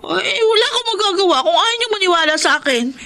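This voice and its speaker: Young filipina girlfriend, really high voice, hesitant and defensive, radio drama. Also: lively intonation, fast talker, sharp tone, heavily acted. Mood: protesting. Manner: protesting, hesitant. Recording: slightly noisy radio drama broadcast.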